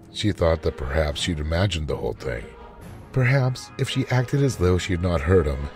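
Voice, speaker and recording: A man narrating a scripted story in a measured, dramatic manner. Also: deep voice.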